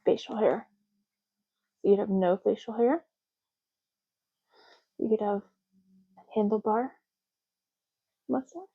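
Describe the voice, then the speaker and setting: A soft-spoken woman hosting an ASMR roleplay, talking in an unhurried, rambling way as if privately to the listener. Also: medium pitch, slightly rough, narrow, tinny audio.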